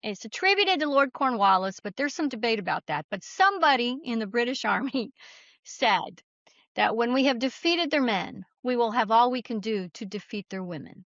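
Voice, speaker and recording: A woman's voice: on the high side, animated, moderate pace, fairly bright tone, no room sound. A woman interview guest telling stories conversationally in an emphatic, folksy, plain-spoken way, on a slightly muffled recording. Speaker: guest author. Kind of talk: conversational storytelling.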